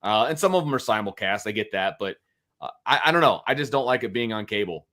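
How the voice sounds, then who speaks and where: A man's voice: fairly low pitch, animated, fast talker, pushed and bright. A middle-aged man giving casual, opinionated sports talk as a co-host, emphatically repeating his points. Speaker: sports show co-host, analyst.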